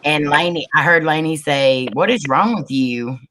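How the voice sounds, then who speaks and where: Low-voiced woman telling a rambling tale. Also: normal speed, sharp tone. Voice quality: low, manly-sounding voice. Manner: rambling storyteller, many "um"s and restarts. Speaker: paranormal investigator. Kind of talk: casual group video chat.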